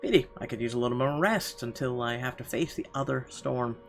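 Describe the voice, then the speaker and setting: A man's voice: mid-range voice, fairly quick. A man narrating a visual novel game as he plays, adding casual, sardonic commentary. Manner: wry, sardonic asides. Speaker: let's player. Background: game music.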